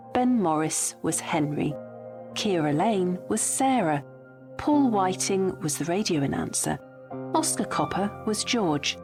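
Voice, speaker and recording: A woman reading scripted closing credits as the announcer of a radio play. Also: fairly low pitch. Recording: voice over prominent music. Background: music.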